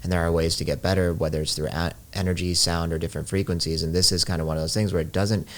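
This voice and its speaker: A man's voice: deep voice, flat delivery, fast talker. A man hosting a casual interview, conversational and rephrasing things to check he understands. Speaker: interviewer, host.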